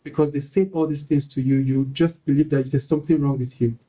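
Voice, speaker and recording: A man's voice: a little high, steady tone, fast talker, mellow, rough recording. A man hosting an interview, asking probing questions and summing up his guest's story. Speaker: host.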